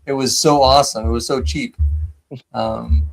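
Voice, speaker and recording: A man's voice: mid-range voice, fairly even intonation, normal speed, vocal fry, soft background music, low-quality recording. An American man from San Diego hosting a live stream, chatting enthusiastically with lots of fillers and restarts. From San Diego, United States. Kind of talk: casual chat.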